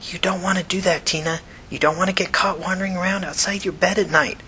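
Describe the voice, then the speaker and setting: A man voicing an earnest young woman, a devout cult follower who talks in an urgent, hushed, preachy way. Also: on the low side, steady tone, fast, a little hiss or hum, voice pushed up. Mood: worried, pleading.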